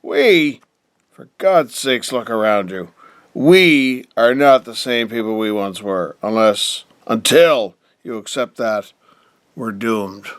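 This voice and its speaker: A man's voice: medium pitch, takes it slowly, no echo, close to an everyday voice. A man plays a contemptuous, wealthy middle-aged father figure in a radio drama. His voice is clean and resonant, recorded dry, with formal, scolding, pompous phrasing. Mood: angry, accusing. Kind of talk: theatrical audio drama acting.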